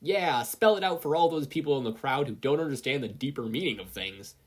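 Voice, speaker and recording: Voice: mid-range voice, expressive, brisk, slightly rough, pitched above the performer's own voice. A narrator with an American accent voicing a jocular, proudly dim male spirit mentor in a story reading. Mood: proud, loud. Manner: casual, jocular.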